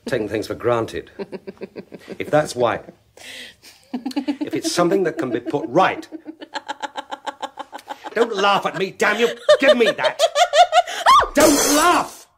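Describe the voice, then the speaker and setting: Wounded husband in radio horror: volatile, emotional, huge pitch swings from pleading to outburst. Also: male voice, huge pitch swings, takes it slowly, pauses often, full character voice. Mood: furious, desperate. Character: distraught young English husband, wounded and volatile. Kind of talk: 1980s radio horror drama acting. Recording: noisy 1980s radio drama recording.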